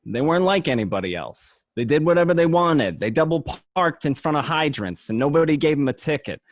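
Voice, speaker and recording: A man's voice: on the high side, lively intonation, fairly quick, dry recording, lo-fi sound, natural voice. A man playing a gangster who looks back on his youth, narrating in a reflective, matter-of-fact way for an amateur radio drama. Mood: nostalgic, proud.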